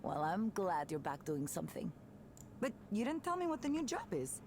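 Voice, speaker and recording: A woman's voice: medium pitch, very animated, moderate pace, darkish tone. An actress voicing an upbeat, candid young woman, a musician and old friend, in a visual novel game. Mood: teasing.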